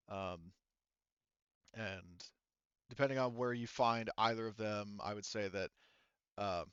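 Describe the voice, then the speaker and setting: A man narrating a tabletop roleplaying game as game master, improvising in a halting, thinking-aloud way. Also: mid-range voice, fairly bright tone, clean tone, dry recording, lo-fi sound.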